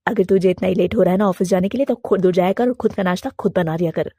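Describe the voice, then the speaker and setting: A woman's voice: fairly low pitch, fast talker, mellow, no room sound, studio quality. A woman voicing a stern but fair mother-in-law pigeon who firmly scolds her daughter.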